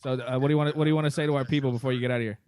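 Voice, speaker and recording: A man's voice: mid-range voice, steady tone, very fast, a little mellow, smooth, no room sound. A man co-hosting a podcast in casual, informal chat with some swearing. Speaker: podcast co-host.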